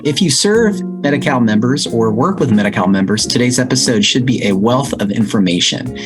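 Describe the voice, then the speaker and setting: An enthusiastic man hosts a podcast interview. His voice sits in an ordinary middle pitch. Guitar music plays clearly under his intro. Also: lively intonation, normal speed. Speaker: podcast host.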